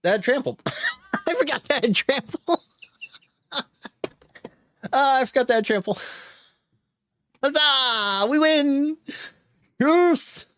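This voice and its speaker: A man's voice: extremely high pitch, animated, slow pace, pauses often, fairly bright tone, a little hiss or hum. A man giving casual gameplay commentary while playing a card game, thinking aloud, chuckling and cheering his wins.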